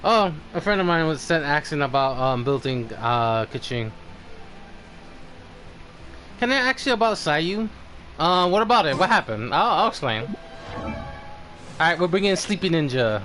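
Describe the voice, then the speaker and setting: Male game streamer, notably high voice, halting and conversational, slight noise behind him. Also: very animated, bright, forceful tone. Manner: halting, thinking aloud. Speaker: game livestream host. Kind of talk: casual livestream chat.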